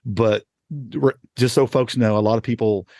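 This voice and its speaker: A man's voice: on the low side, steady tone, normal speed, a little mellow, no room sound, studio quality. A man hosting a podcast in casual chat, rambling and correcting himself, laughing often. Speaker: podcast host.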